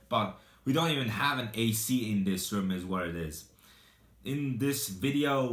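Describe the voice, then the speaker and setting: An eighteen-year-old man chatting casually and excitedly as he reacts to his old videos, laughing often and rambling. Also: on the low side, roomy sound. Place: small hot room without air conditioning.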